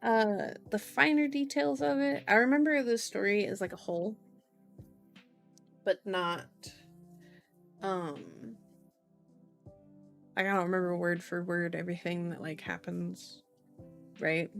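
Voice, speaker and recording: A woman streamer talks in a sleepy, cutesy way at a very unhurried pace. She rambles casually to her viewers in an ASMR style, with playful little phrasings. Music plays behind her voice. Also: medium pitch, steady tone, vocal fry. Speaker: streamer hosting an ASMR book reading.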